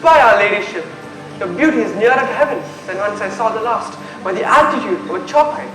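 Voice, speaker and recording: A man's voice: sits high, animated, brisk. A young man playing a brooding, anguished prince in a declamatory Shakespearean stage style. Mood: effusive greeting. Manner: declamatory, dramatic. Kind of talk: theatrical Shakespearean stage acting. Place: school stage performance in a hall. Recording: echoey stage recording, loud music.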